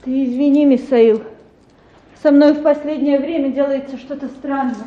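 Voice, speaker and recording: A woman playing an anguished, repressed young gentlewoman in a theatrical radio drama, pleading tremulously. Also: high voice, fairly even intonation, normal speed, phone-quality sound, lightly acted. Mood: apologetic.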